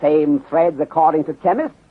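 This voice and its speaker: An actor in a 1930s radio drama playing a calm, methodical detective inspector with a put-on Chinese accent and formal, clipped sentences. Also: male voice, a little high, natural voice. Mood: calm, accusing. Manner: measured, formal, clipped sentences without articles. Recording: muffled, phone-like 1930s radio recording.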